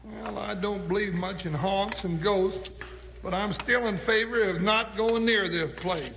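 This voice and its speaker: Folksy cowboy sidekick, high-pitched, American drawl, jumpy, grumbling, old radio drama, noisy recording. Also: male voice, measured pace, creaky, exaggerated voice. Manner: comic rustic drawl, grumbling and excitable. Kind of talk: 1950s radio western acting.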